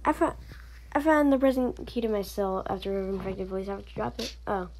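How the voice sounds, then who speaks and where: A woman doing amateur voice acting, playing all the characters of an animated story herself. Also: medium pitch, brisk, vocal fry, slight background noise, poor audio.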